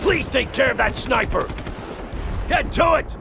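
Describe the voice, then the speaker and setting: A stern, barking military commander from a war video game. His voice sits high and has a gravelly creak. Battlefield noise and gunfire sound behind his authoritative orders. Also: expressive, unhurried, full character voice. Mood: urgent, commanding. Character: gruff, commanding American army officer giving urgent orders.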